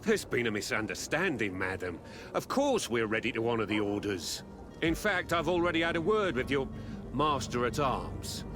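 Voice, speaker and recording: An actor playing an evasive, stubborn merchant's apprentice in a video game, deferential and full of whiny excuses. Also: male voice, medium pitch, very animated, moderate pace, natural voice. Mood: polite, evasive. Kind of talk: scripted video game dialogue. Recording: music behind the voice.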